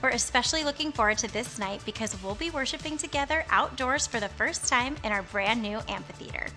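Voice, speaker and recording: A woman reading scripted church announcements in a smooth, upbeat, even way. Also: on the high side, a little fast, faint music behind.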